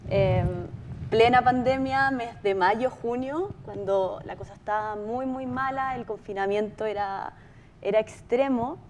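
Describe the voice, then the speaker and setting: A woman's voice: on the high side, a little slow, darkish tone, slight background noise. A Chilean woman speaking as a producer, telling a story in an informal, conversational presentation with emphatic repetition. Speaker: podcast producer giving a talk.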